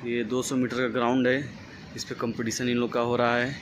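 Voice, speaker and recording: A man's voice: mid-range voice, completely flat delivery, a little fast. A young man from India walking viewers through an outdoor athletics stadium, describing what he shows in a plain, direct way. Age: young adult. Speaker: vlogger, athlete. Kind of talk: walking tour narration. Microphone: phone camera, handheld. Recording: outdoor phone audio with background noise.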